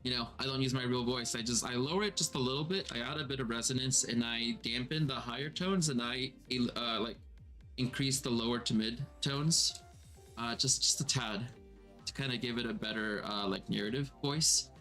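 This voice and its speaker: A man hosting a casual stream, his voice processed to sound a little lower and fuller, chatting in a self-deprecating way with many fillers. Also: moderate pace, sharp tone, soft background music. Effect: voice processed slightly lower and more resonant. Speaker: streamer and game master. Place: home streaming room.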